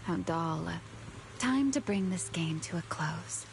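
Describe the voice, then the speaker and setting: An actress playing a weary, mysterious female fugitive who speaks slowly in a breathy half-whisper, in a game cutscene. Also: fairly low pitch, lively intonation, a little hiss or hum, poor audio. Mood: languid. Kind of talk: video game voice acting. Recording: game cutscene with music behind.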